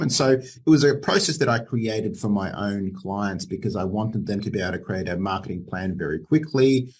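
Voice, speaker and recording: Low husky guy talking about his book, chill, laughs a lot. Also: darkish tone. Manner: laughs often, repeats words when starting. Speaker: author being interviewed. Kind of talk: relaxed interview answers.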